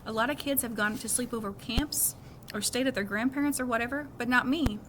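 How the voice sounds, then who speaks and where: A woman's voice: fairly high pitch, a little fast. A woman reading a children's novel aloud as a first-person story. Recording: clearly noisy background.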